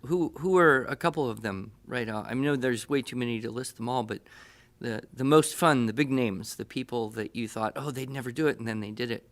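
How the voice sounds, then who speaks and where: A middle-aged man hosting a podcast interview, speaking conversationally in deliberate, broken-up phrases. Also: mid-range voice.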